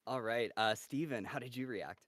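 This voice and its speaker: A man moderating a voice cast Q&A over a video call, cheerful and quick to laugh. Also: medium pitch, a bit bright, dry recording, clean studio sound. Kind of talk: casual upbeat moderating.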